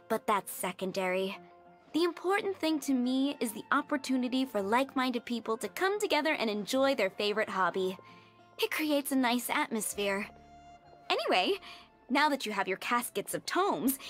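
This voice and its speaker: High-pitched, gracious tavern hostess. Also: female voice, big pitch swings, moderate pace, fairly bright tone. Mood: genial. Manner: polite, chatty, poised. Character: genial, gracious tavern proprietress, poised adult woman. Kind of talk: animated game voice acting. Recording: background music.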